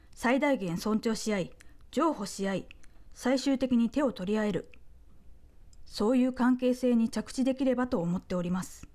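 A woman playing a composed, courteous royal envoy in a radio drama, with very polite, measured, deferential speech. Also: a little high, mellow, natural voice. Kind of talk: radio drama acting, formal diplomatic speech.

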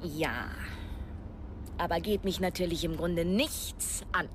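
A woman's voice: on the low side, slow pace. An actress playing a curious, awkward young woman driver in naturalistic radio drama dialogue. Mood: awkward, miffed. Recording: clear vehicle noise behind.

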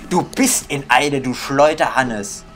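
A man's voice: mid-range voice, unhurried, fairly bright tone, soft background music. A young man giving casual gaming commentary in a grumbling, comically exasperated manner. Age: young adult. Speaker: let's player.

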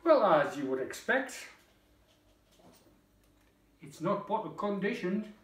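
A wry, talkative Geordie man in his fifties, reviewing a beer at his kitchen table. His voice sits a little high for a man and is caught by a camera across the room, so it sounds distant and echoey. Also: sing-song, slow pace, a bit bright. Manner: chatty, wry, swears casually. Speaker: beer vlogger. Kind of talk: casual beer review chat. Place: home kitchen, indoors.

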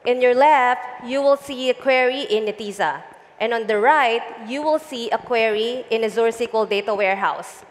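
A woman in her thirties explaining a data project in a measured way, using a headset mic on a conference stage. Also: fairly high pitch, big-room sound. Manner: measured, explanatory, some fillers. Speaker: guest, data engineering lead. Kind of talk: onstage tech interview. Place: large conference stage before an audience.

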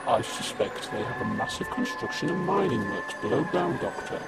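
Man doing a renegade Cyberman, earnest and troubled, loud music under him. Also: mid-range voice, very animated, normal speed, natural voice. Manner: earnest, questioning.

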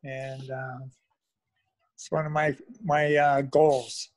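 A man's voice: medium pitch, flat delivery, slow, no room sound. An older retired man chatting casually about his hobby, rambling and often trailing off into filler phrases. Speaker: meetup guest, model railroad hobbyist. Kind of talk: casual chat. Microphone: phone at arm's length on video call.

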